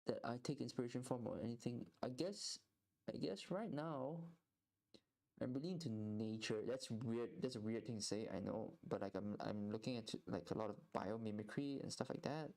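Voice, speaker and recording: A man's voice: medium pitch, normal speed, creaky, darkish tone. A young man streaming while he draws, chatting casually and rambling, often repeating words as he thinks. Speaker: artist streaming while drawing. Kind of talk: casual live-stream chat.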